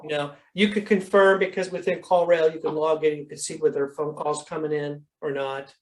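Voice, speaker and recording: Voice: medium pitch, little pitch movement, brisk, a little hiss or hum, low-quality recording. An instructor explaining things informally in a question-and-answer coaching session over a video call, in a conversational way that often qualifies itself.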